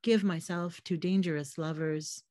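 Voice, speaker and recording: Canadian woman poet, slightly hoarse, low-pitched, reading poems over a video call; dry sound. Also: fairly even intonation, measured pace, warm tone, dry recording. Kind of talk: poetry reading. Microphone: computer mic on a video call.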